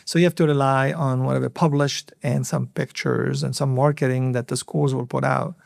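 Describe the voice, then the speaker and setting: Gravelly man in his fifties. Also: medium pitch, little pitch movement, dry recording, studio quality. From Lebanon. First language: Arabic. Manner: earnest, reflective, many filler pauses. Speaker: hospital CEO, podcast guest. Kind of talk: interview storytelling.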